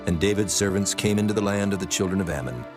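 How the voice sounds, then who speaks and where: A man narrating scripture from a script in a solemn, measured manner. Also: sits low, lively intonation. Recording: music bed under the voice.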